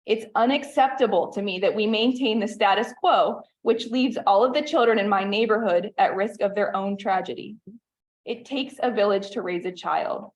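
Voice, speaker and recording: Earnest woman reading a public comment. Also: mid-range voice. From western Pennsylvania. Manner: earnest, measured, reads from notes. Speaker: resident giving public comment. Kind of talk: prepared public comment read aloud.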